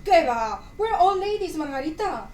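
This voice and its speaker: A woman's voice: very high-pitched, big pitch swings, normal speed, darkish tone. A woman playing a proud, teasing Cuban daughter in a staged play reading. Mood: proud, defiant. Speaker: student actor in a dramatic reading. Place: echoey room at a live performance. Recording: roomy, distant mic, faint noise.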